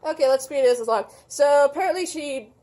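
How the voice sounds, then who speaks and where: Bossy, higher-pitched puppet critic played by a woman. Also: brisk, dark tone, natural voice. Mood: snarky. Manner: snappy, sarcastic. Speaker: puppet show reviewer. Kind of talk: comic movie recap.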